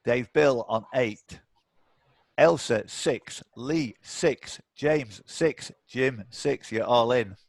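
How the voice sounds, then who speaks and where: A man's voice: medium pitch, extremely slow, gravelly, no echo. A man hosting a live online quiz off the cuff, with chatty, teasing banter. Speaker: quiz host. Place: indoors, online video call.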